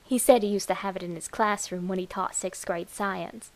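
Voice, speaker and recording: A woman voicing a deranged, lovestruck young woman who tells her morbid horror story in a breathy, dramatic way. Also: medium pitch, steady tone, a little mellow, natural voice. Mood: hushed, wistful. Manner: demented, breathy, dramatic, slow with whispered words. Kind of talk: first-person horror story narration.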